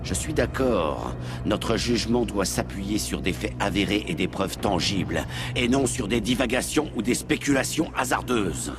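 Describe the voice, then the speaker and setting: A man as a stern, low-voiced alien councillor. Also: lively intonation, normal speed, over music, lightly acted. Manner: formal, stern. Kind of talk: video game cutscene acting in French.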